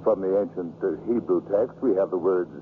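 An actor playing a learned, halting clergyman who expounds scripture in a 1950s radio drama. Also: low voice, lightly acted. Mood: earnest, halting. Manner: halting, scholarly. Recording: muffled, hissy 1950s radio broadcast.

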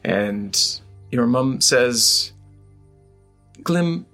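A man's voice: on the low side, measured pace, vocal fry, a bit bright, soft background music. A man narrating an improvised tabletop game as game master, hesitantly searching for words.

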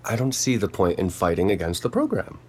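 An actor playing an awkward, lonely botanist in an audio drama, earnest and stammering, with a husky voice and formal wording. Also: sits low, big pitch swings, a little fast, a little mellow, full character voice. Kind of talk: naturalistic audio drama dialogue.

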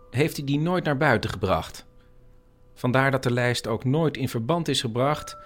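A man reading scripted narration in the style of a radio documentary. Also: mid-range voice.

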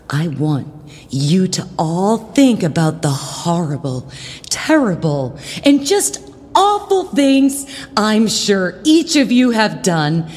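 An actress playing a prim, bossy, judgmental church youth leader in a comedic audio drama, sweetly stern and passive-aggressive with dramatic pauses. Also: female voice, medium pitch, a little mellow, in a large hall. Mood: stern, theatrical.

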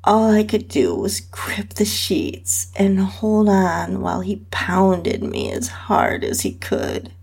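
Slow, breathy woman narrating intimately. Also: medium pitch, wildly swooping pitch. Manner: breathy, sensual, drawn-out delivery with soft moans. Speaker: podcast host and narrator reading own story. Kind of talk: intimate first-person erotic story narration.

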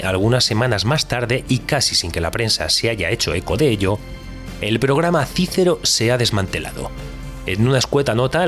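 A man's voice: mid-range voice, close to an everyday voice. A man playing a direct, insistent radio interviewer in a scripted audio drama, speaking. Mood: grave, intriguing. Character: direct, probing male radio interviewer with no-nonsense questions. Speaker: podcast host interviewing an astronaut. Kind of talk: scripted fictional interview show.

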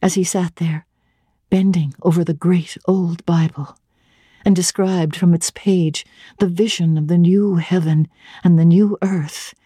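A woman giving a measured, expressive dramatic reading of a classic novel, as its regular reader. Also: fairly low pitch, breathy edge, dry recording, clean studio sound.

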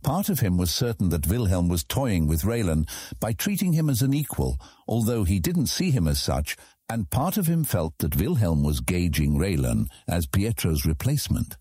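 A man narrates scripted fantasy fiction. His voice is very deep, dark and husky. The delivery moves freely in pitch as he tells the story. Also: very animated, normal speed, dry recording, clean studio sound.

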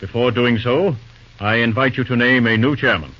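An actor playing a pompous, formal, easily affronted railroad president in an old-time radio drama. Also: male voice, mid-range voice, normal speed, natural voice. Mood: formal, self-important. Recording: dull, very noisy old radio recording.

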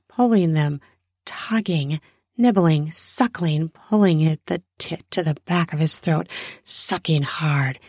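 A woman narrates erotic fiction in a lowish, husky voice. She takes her time, lingering on words and stressing them. The sound is close and dry. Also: a little mellow, no room sound. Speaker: narrator of an erotic audio story. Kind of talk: erotic story narration with acted dialogue.

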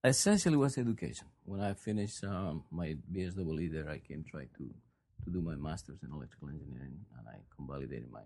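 Peruvian-born man, forties, deep gravelly voice, easygoing and wry. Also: fast talker. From Peru. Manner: relaxed, joking. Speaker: guest. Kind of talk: casual chat.

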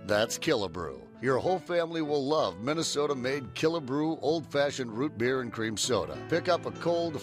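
Male ad announcer, mid-pitch, measured commercial read over a loud guitar bed. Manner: slow, deliberate announcer read. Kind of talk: radio ad read.

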